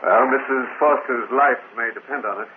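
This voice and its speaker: An actor playing a worried, authoritative male doctor in an old-time radio drama, measured and educated but urgent. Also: medium pitch, close to an everyday voice. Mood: anxious, urgent. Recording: muffled old radio broadcast, faint noise.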